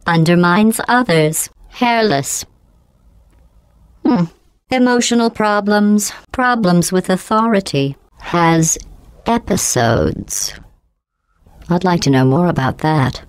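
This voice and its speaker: A woman voicing a cold, sarcastic female AI overseer in a video game, speaking in a deadpan, mocking, clipped and measured way through a synthetic computer voice effect. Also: lowish voice, clean tone, dry recording.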